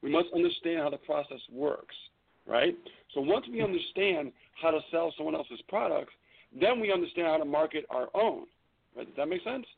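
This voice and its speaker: A man with a gravelly, slightly high voice talks eagerly about marketing, as if coaching a crowd, throwing in rhetorical questions. He comes through a thin, tinny phone line. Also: lively intonation, normal speed. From New York City, raised in New England. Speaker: guest, internet marketing trainer. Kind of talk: casual radio interview.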